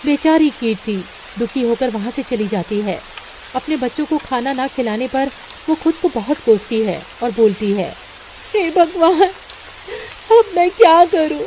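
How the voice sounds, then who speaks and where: A woman reads a cartoon story aloud in a steady, plain manner. Her voice sits high but sounds soft and mellow. A faint hiss runs under the recording. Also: normal speed, rough recording. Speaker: narrator. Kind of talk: scripted storybook narration.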